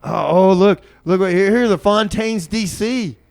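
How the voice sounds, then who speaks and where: A man works as a community radio host. His voice sits a little high, and he announces in a loose, informal ramble, doubling back on phrases. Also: moderate pace, gravelly, dry recording, studio quality. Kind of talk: casual, rambling music-show announcing.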